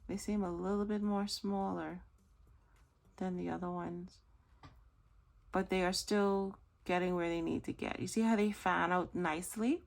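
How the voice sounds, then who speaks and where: Gushing woman with a creaky voice. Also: lowish voice, fairly even intonation, measured pace. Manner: chatty, gushing, self-correcting. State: slightly hoarse, losing her voice. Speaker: nail art tutorial host. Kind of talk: casual tutorial chat.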